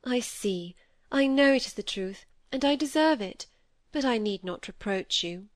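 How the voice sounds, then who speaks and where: A woman's voice: fairly high pitch, expressive, a little slow, no room sound, pitched above the performer's own voice. A woman acting nervous young lady, storybook dialogue, emphatic, self-pitying, very dry recording. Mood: remorseful. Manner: emphatic, agitated. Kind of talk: acted audiobook dialogue.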